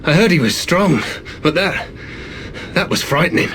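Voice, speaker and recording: A brash and rattled soldier, played by a man in a fantasy game. His voice is high and emphatic, agitated, over faint noise. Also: animated, moderate pace, natural voice. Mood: incredulous, awed. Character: brash, incredulous, rattled male imperial soldier. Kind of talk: video game cutscene acting.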